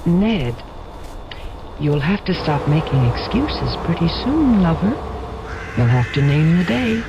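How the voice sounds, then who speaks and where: Coaxing fiancée, animated delivery. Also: female voice, sits low, slow, highs cut off, heavy background noise, exaggerated voice. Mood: coaxing, eager. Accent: American. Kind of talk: old-time radio drama acting.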